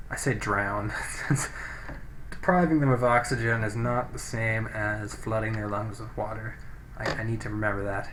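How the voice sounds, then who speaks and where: Man doing game commentary, noisy mic. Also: on the low side. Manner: swears casually, reads game text aloud. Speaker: gaming let's play host. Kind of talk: casual game commentary, thinking aloud. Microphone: low-quality microphone. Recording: noisy, poor-quality cheap microphone.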